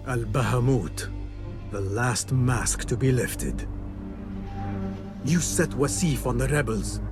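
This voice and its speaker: An actor playing an earnest, driven young assassin apprentice in a video game, speaking with intense solemnity. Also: male voice, medium pitch. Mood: grim resolve. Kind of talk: dramatic scripted dialogue.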